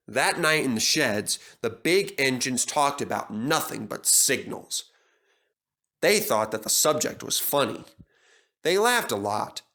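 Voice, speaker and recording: A man tells a children's story as scripted narration, taking his time. His pitch is moderately high for a man and moves expressively. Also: pushed and bright.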